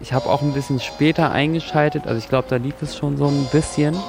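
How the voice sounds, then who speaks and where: Man, interviewee, flat intonation, halting reflective talk, music clearly behind. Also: fairly high pitch. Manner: halting, searching for words.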